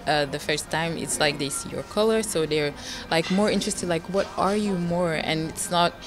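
Young female contestant with a low voice, halting interview answers and background music. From Belgium. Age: young adult. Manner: hesitant, many fillers and "like". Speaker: pageant contestant. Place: indoor lounge on a sofa. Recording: music playing behind the voice.

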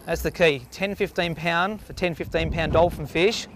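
A man's voice: a little high, normal speed. Australian man hosting fishing on a boat. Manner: chatty, explains tips to camera. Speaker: fishing show host. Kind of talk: casual on-water fishing commentary. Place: small boat out on open sea. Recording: faint boat and sea noise.

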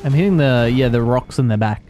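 A man's voice: mid-range voice, fairly quick, warm tone, highs cut off, slight background noise. A man playing a video game with his co-host, joking around and laughing a lot in casual chat.

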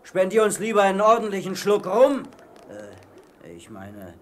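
A man's voice: high-pitched, huge pitch swings, unhurried, heavily acted. A man playing a grumbling, impatient pirate crewman in a radio play.

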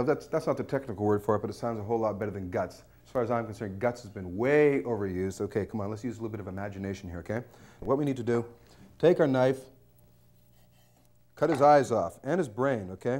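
A man's voice: on the low side, quick pace, a little mellow. A man in his thirties hosting a cooking show in a studio kitchen, cheeky and joking as he talks to camera. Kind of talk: jokey cooking demonstration.

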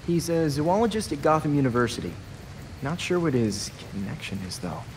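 An actor playing an earnest, studious young sidekick hero in a game, sounding slightly unsure of himself. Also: male voice, on the high side, sing-song, a little fast, a little hiss or hum. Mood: thoughtful. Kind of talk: cinematic game dialogue.

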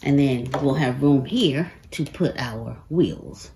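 A middle-aged woman with a low voice walks through each step of a woodworking build in casual, friendly how-to talk. The sound is a bit roomy, as if from a camera mic in a home workshop, with faint ambience behind her. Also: slow, mellow, slight background noise. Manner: chatty, explains each step, says "y'all". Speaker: DIY woodworking creator. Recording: echoey workshop room.

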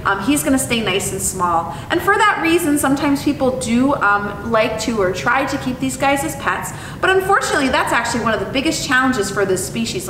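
A young woman hosts an educational storytime for children. Her voice sits fairly high and swings widely in expressive melody. She is heard at some distance from the microphone, in a lively room. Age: young adult. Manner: animated, expressive delivery. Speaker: zoo educator and storytime host. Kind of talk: educational presentation and picture-book reading for children. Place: indoor room with painted animal mural. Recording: echoey, distant mic with clear rumbling noise.

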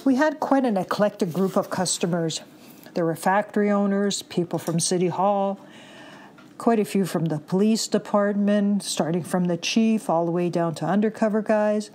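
A woman's voice: mid-range voice, moderate pace, a little mellow, slight background noise. A middle-aged Canadian woman telling a chatty personal anecdote from her youth. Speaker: listener contributor.

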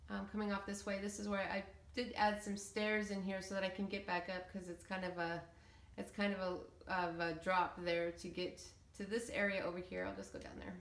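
A woman's voice: mid-range voice, flat delivery, fairly quick, creaky, fairly bright tone. A woman giving a casual, hesitant, meandering walkthrough commentary of a game build. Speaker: video creator showing game settlement builds. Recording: roomy, echoey room sound.

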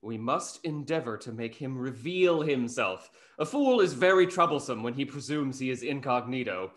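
An actor playing a dry, mocking, gossipy gentleman in a period stage comedy. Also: mid-range voice, lively intonation, moderate pace, lightly acted. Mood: wry, mocking. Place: indoors at home on video call. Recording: roomy, echoing home call.

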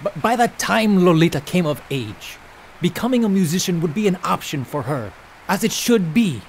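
An actor playing a gentle, supportive middle-aged father, earnest and pleading. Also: high voice, sing-song, moderate pace, a little hiss or hum. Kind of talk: audio drama acting.

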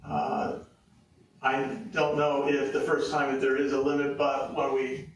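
A tired man speaks from a podium in a county meeting room, explaining his point at length with plenty of uhs. The room is very echoey and he sits back from the microphone. A faint hiss runs underneath. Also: medium pitch. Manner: rambling, with many filler pauses. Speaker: public commenter on tax policy. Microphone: podium mic, heard from a distance. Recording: echoey room, far from the mic.

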